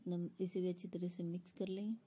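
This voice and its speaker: Lady doing a recipe voiceover, low mellow husky voice, explains each step. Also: level tone, a little fast. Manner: instructional, explanatory. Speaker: home cook hosting a recipe channel. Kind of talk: step-by-step cooking tutorial voice-over.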